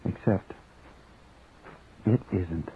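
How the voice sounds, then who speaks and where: A man's voice: deep voice, extremely slow. An actor playing a torn, self-doubting married writer in a radio drama, confiding and hesitant as he narrates and talks. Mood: confiding, wry. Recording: thin phone-like radio audio, music behind.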